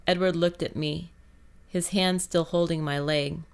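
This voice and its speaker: A woman's voice: fairly low pitch, steady tone, unhurried, clear, resonant tone. A woman reading scripted first-person fiction as an audiobook narrator.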